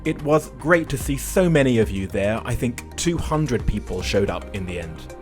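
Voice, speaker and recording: A man's voice: medium pitch, animated, moderate pace, faint music behind. Dry, self-mocking male podcast host. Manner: dry, self-deprecating humor. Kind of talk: podcast intro and interview chat. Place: Shanghai.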